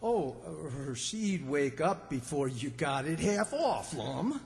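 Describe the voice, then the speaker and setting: Man acting gullible bumpkin, slow drawl, highish voice, earnest, slow-witted, radio comedy, hall echo. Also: sing-song, vocal fry, darkish tone, slight background noise, full character voice. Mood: folksy. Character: dim, gullible, good-natured country bumpkin man. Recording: reverberant hall stage recording.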